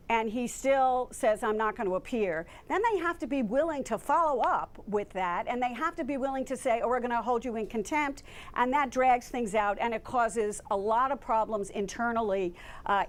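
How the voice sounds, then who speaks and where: Woman, political commentator, slightly high voice, emphatic, questioning, live news panel analysis. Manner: emphatic, poses rhetorical questions.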